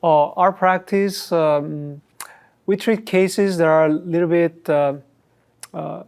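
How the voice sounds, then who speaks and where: A man's voice: on the high side, fairly even intonation, slow, creaky, a little mellow, smooth. A middle-aged man who grew up in Chile and Peru, an orthodontist explaining his work in a studio interview, speaking with many fillers and restarts. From Korea, raised in Chile and Peru. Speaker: orthodontist guest.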